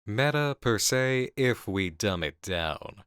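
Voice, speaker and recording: Man playing deep-voiced, seductive horror game designer. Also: lively intonation, slow pace, a bit bright, clean tone, no echo, clean studio sound. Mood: musing, intrigued. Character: intense seductive male horror game designer, obsessed with fear. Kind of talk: intimate ASMR roleplay acting. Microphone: close studio mic.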